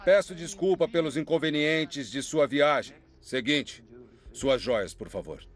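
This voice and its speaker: A man's voice: a little high, measured pace, faint music behind, close to an everyday voice. A man playing a cold, menacing camp officer. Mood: falsely polite. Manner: smooth false courtesy turning to barked orders. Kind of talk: dubbed documentary reenactment.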